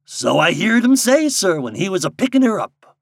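A man's voice: sits high, sing-song, normal speed, creaky, no room sound, clean studio sound, voice pushed up, full character voice. A man voicing a sly old American backwoods guide with a folksy rustic dialect in a storybook reading. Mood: civil, composed.

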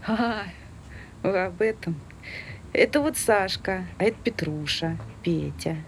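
A woman's voice: mid-range voice, unhurried, lightly acted. An actress playing a prim, opinionated woman who speaks in an indignant, formal, lecturing manner in a stage drama. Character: prim, opinionated, scolding female dog owner. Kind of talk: naturalistic stage dialogue. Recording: noisy ambient background with street sounds.

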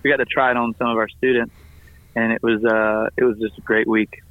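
A slightly hoarse man, a family ministries pastor, calling in to tell an upbeat, enthusiastic story full of fillers. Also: mid-range voice, flat delivery, fairly quick. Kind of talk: casual phone-in report. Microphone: phone call. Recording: tinny phone line.

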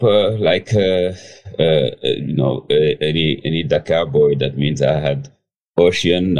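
A man in his fifties from Senegal, telling his life story as a chef on a podcast over a remote call, pausing often with fillers and restarts. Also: extremely low pitch, a little mellow. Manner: hesitant, many fillers and restarts. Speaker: guest chef. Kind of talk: casual interview storytelling.

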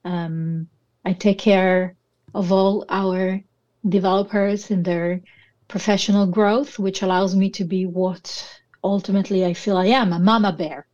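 A woman's voice: medium pitch, steady tone, unhurried. Italian-accented woman on a dull line. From Italy. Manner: warm, laughing often. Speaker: guest, engineering director. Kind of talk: casual panel chat.

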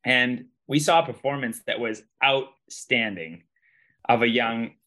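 A male podcast host with a medium-pitched voice chats through a sports recap. He is colloquial and emphatic, rambling from point to point and repeating phrases as he makes his case. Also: measured pace, sharp tone. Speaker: podcast host and ski racing commentator. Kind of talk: casual sports recap chat.

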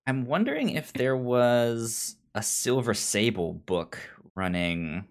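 Man chatting casually, halting and restarting. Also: mid-range voice, expressive. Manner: halting, repeats and restarts words; acts out imagined lines as he explains. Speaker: podcast co-host. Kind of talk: casual comic book review chat.

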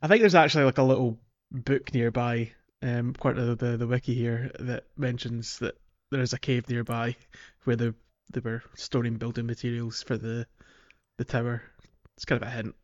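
A man co-hosting a podcast in relaxed, informal conversation about video games. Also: mid-range voice, normal speed, highs cut off. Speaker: podcast co-host.

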